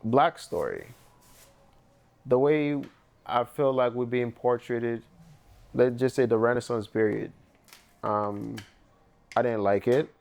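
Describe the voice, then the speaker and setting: A man's voice: mid-range voice, slow, vocal fry, pauses often, darkish tone. A young man from Haiti with a Haitian accent, a painter in a documentary interview, speaking reflectively with loose phrasing and many fillers. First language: Haitian Creole.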